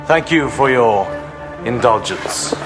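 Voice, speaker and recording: An actor playing a deferential but pressing courtier in an audio drama, speaking with formal urgency. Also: male voice, mid-range voice, lively intonation, slow pace, over music. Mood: urgent, deferential.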